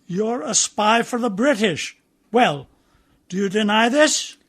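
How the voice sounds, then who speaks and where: Man playing a husky, high-voiced stern officer. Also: expressive, takes it slowly, fairly bright tone, heavily acted. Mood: stern, commanding. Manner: clipped, commanding, formal questioning. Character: stern, pompous German naval officer conducting an interrogation. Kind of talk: radio play acting.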